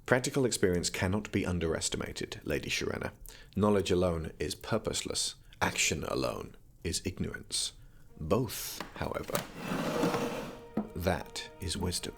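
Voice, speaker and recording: A bookish young prince in an audio drama. His voice is very low with a gravelly creak, and he talks drily and formally, teasing like a pedant. Music sits underneath. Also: animated, moderate pace, a bit bright, full character voice. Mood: lofty. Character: erudite, teasing young prince studying magic. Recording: music behind the voice.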